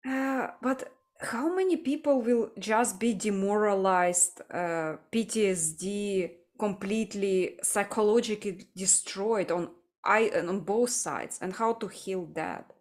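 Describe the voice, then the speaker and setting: A woman from Ukraine hosting a livestream discussion, speaking earnestly and haltingly as she searches for her words. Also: medium pitch. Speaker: livestream host. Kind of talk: casual discussion.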